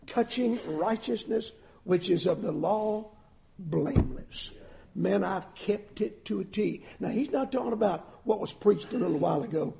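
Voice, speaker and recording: A man preaching a sermon with folksy asides, swinging between loud emphatic lines and soft drawn-out phrases. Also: sits high, gravelly.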